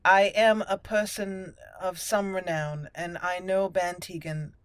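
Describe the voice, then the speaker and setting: A woman voicing a polite, earnest young noble elf mage in a game roleplay, speaking in a measured, formal way. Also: on the low side, fairly even intonation, clean tone, no room sound, close to an everyday voice. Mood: earnest, persuasive. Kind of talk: roleplay reading of game dialogue.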